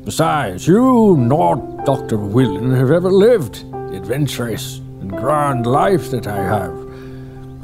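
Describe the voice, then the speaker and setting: An actor playing a stubborn, grumbling older retired historian, who sighs a lot and talks slowly. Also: male voice, a little high, full character voice. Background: music.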